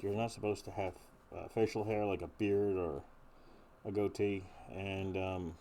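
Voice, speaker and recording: A fairly low-voiced man talks quietly through a gas mask, so his voice comes out muffled and a little nasal. He rambles casually with frequent ums as he reviews gear, and faint background noise sits under the recording. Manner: soft-spoken, rambling with many ums. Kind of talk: casual unboxing talk.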